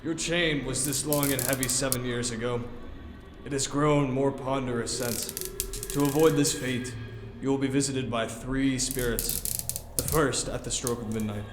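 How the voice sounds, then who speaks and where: A man's voice: medium pitch, steady tone, normal speed, natural voice. A man acts out an anguished male ghost in an audio drama, declaiming solemnly as if weighed down by chains. The voice rings through a long, cavernous echo, and music swells prominently behind it. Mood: grave, foreboding. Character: tormented ghost of a dead businessman in chains. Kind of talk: audio theater acting. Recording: cavernous long reverb over loud music.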